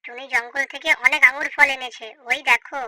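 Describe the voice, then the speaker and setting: A woman voicing a spiteful, scheming female crow in a children's cartoon, with a sharp, needling manner. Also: sits high, fairly even intonation, a little fast, no echo. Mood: indignant, vengeful.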